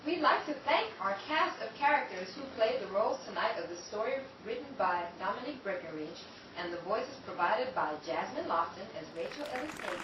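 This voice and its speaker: A woman's voice: medium pitch, recorded from a distance. A woman announcer reading scripted broadcast credits for an audio drama series in a theatrical, presentational way. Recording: echoey, noisy, off-mic.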